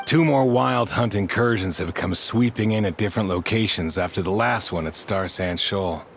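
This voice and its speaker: A man voicing a serious, courteous sergeant major in a video game, formal and measured, with a gravelly voice. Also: lowish voice, slight background noise. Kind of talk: video game character dialogue.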